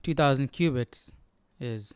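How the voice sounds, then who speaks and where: A man's voice: mid-range voice, unhurried, a little mellow, a little hiss or hum. A man hosting a Bible study with casual commentary, musing aloud to himself.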